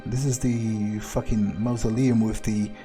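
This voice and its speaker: A man playing a foul-mouthed, sardonic vampire, musing aloud with wry, hesitant pauses as he plays a video game. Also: lowish voice, little pitch movement, warm tone, soft background music, close to an everyday voice. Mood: puzzled. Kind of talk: in-character playthrough narration, musing aloud.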